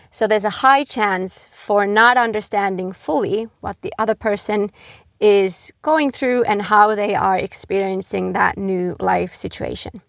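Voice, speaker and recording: A middle-aged woman from Finland giving earnest, gentle advice to camera, with frequent fillers like "you know". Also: medium pitch, no echo, studio quality. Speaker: expat vlogger.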